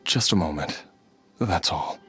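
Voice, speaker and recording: A man playing an ailing, gentle family man in a video game, earnest and resigned, with a weary, halting delivery. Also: low-pitched, animated, soft background music, exaggerated voice. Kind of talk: video game character acting.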